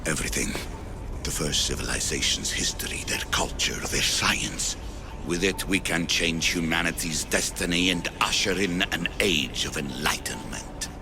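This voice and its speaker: An actor playing an ancient, solemn, detached old scholar who guards secret knowledge, in a grave, measured, breathy delivery for a video game cutscene. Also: male voice, low voice, animated, music in the background. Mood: reverent, intense. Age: older.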